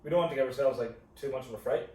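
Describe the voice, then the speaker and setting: Echoey, mellow-voiced Scottish man chatting. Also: medium pitch, racing. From Scotland. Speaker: co-host of a couple's vlog. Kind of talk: casual chat. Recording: echoey room, distant mic, faint noise.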